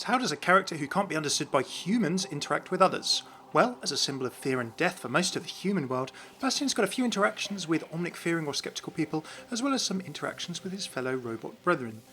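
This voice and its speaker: A man's voice: a little high, sharp tone. A man giving an explanatory voice-over as the host of a game lore series.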